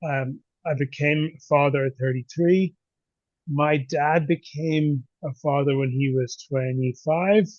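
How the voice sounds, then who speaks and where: A chatty man in his forties, his voice pitched a little high for a man. He talks easily and laughs often. The audio comes through a dull, dry remote call line with no room sound at all. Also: fairly even intonation, measured pace, darkish tone, no room sound. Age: 40s. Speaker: podcast host. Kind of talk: casual conversation.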